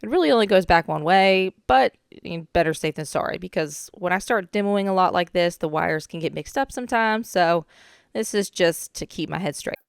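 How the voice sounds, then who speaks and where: Slightly hoarse young woman. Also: lowish voice, moderate pace, no room sound, clean studio sound. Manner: chatty, relaxed, with frequent "um" asides. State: a little hoarse from a cold. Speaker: DIY renovation vlogger. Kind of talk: casual voice-over narration of demolition footage.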